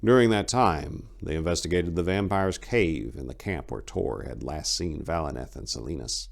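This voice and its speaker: A man's voice: rumbling low, moderate pace, gravelly. A man reading scripted fantasy fiction as a dramatic audiobook narrator.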